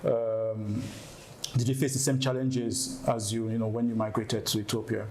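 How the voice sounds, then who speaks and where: A man interviewing a guest, asking his questions hesitantly and filling pauses with "um". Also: fairly low pitch, level tone, darkish tone, echoey room, a little hiss or hum. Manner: hesitant, many "um" fillers.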